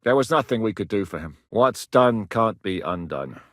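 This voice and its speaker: An actor playing a blunt, battle-hardened middle-aged mercenary commander in a video game, coarse-spoken with a dry wit. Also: male voice, on the low side, lively intonation, normal speed, a bit bright, no room sound. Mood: formal, grateful. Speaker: military commander. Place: studio booth. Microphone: close studio mic.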